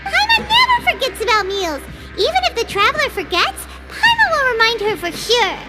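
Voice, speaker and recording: Tiny greedy game fairy, high squeaky voice, lively swings in pitch. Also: female voice, moderate pace, full character voice, rough recording. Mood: chirpy. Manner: talks about herself in the third person. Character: small floating female fairy companion, chirpy and greedy. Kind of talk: video game dub. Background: game music.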